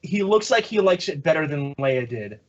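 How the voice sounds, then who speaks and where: Man, high voice, very lively intonation, joking casual chat, slightly muffled lo-fi sound. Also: normal speed. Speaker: host of an audio drama review.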